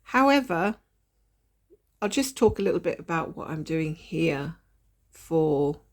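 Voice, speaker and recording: Softly chatty woman, a bit nasal. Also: sits low, animated, unhurried. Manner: chatty, apologetic, laughs softly. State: nasal and slightly hoarse from a cold. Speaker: cross-stitch vlogger. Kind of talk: casual stitch-along chat. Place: at a desk indoors.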